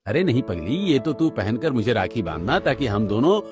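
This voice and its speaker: A man's voice: mid-range voice, big pitch swings, fairly quick, over music, lightly acted. A man voicing a poor, devoted young working brother in a cartoon story, earnest, reassuring and affectionate. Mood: affectionate teasing. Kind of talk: Hindi cartoon story dialogue.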